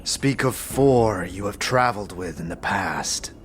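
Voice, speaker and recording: A man's voice: on the low side, measured pace, close to an everyday voice. A man playing a stoic, guarded old warrior in a fantasy audio drama, speaking in a terse, formal, grave way. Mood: solemn, resigned. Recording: music under the voice.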